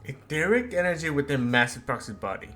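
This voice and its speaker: A man's voice: a little high, very animated, brisk, a bit bright. A man streaming a game, giving casual commentary and reading on-screen text aloud. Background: faint game music.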